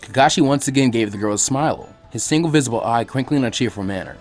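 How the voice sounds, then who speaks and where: A man reading fan fiction aloud as an expressive scripted story narration. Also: medium pitch, quick pace. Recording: faint music behind the voice. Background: faint background music.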